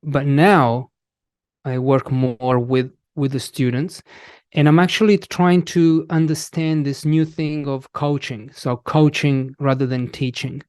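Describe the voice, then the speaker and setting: Slow, halting Italian man. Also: medium pitch, steady tone, a little mellow, smooth, no echo, clean studio sound. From Italy. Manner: slow, halting, many restarts and fillers. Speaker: guest, English teacher. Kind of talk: casual interview.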